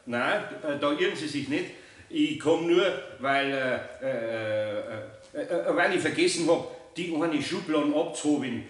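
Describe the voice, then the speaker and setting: An actor playing a good-natured, awkward master carpenter with a Bavarian accent and a hesitant manner in a 1960s German radio play. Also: male voice, fairly high pitch, animated, a little slow. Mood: sheepish. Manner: hesitant, homely, stammering.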